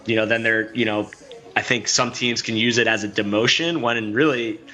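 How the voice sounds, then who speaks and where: A man's voice: medium pitch, fairly even intonation, moderate pace, pushed and bright. A man giving color commentary on a lacrosse game, loose and rambling, with lots of "you know" fillers. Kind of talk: sports analysis.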